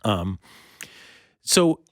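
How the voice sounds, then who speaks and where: A middle-aged man hosting a casual podcast interview, speaking haltingly as he searches for words. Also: fairly bright tone, dry recording, clean studio sound. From Windsor-Detroit area. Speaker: podcast host interviewing a guest.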